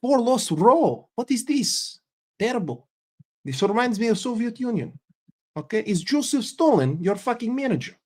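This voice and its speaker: A man putting on an as a ranting man who scolds a football team, slow, crude and indignant. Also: high-pitched, a little mellow, voice pushed up. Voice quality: crude and scornful.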